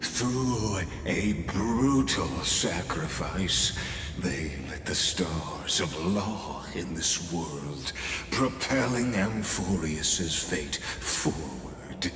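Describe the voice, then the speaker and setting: A man voicing a solemn, grandiose game character who speaks in a measured, ceremonial, theatrical way. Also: sits low, animated, a crowd in the background, full character voice. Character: solemn, grandiose man delivering an epic toast and narration. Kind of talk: theatrical scripted game voice acting. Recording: echoey long reverb, background music.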